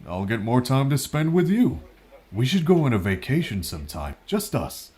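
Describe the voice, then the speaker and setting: A man playing a fatherly, good-humoured monk in an animated visual novel. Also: mid-range voice, moderate pace, lightly acted. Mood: fond teasing. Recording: music behind the voice.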